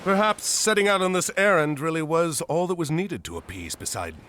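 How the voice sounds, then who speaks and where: Bold, forceful heroic admiral. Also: male voice, a little high, animated, a little fast. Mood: composed. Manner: commanding, earnest. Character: bold, resolute Atlantean admiral, heroic male warrior. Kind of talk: video game cutscene acting.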